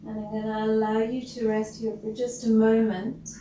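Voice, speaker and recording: A woman leading a guided breathing meditation as a teacher, gentle and instructional, leaving long pauses between short phrases. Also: on the high side, flat delivery. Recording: roomy, distant mic with loud background noise.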